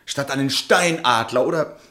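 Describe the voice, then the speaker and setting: A man plays an aristocratic count in a literary radio drama. He is restless and impassioned, with a declamatory delivery at a middling male pitch. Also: normal speed, sharp tone, lightly acted. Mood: impassioned, exalted. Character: restless aristocratic count breaking with his wife.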